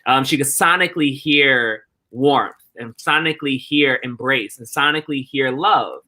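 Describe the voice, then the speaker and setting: A man with a very bright, forceful voice at a medium pitch. He speaks as an earnest interview guest, deliberate and reflective, building points through rhythmic repetition. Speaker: interview guest, theatre director.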